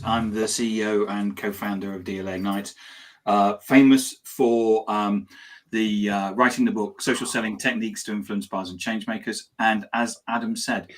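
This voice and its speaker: A man running a company introduces himself with energy, hesitating now and then. His voice is on the lower side. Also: fairly bright tone. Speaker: panelist, company CEO.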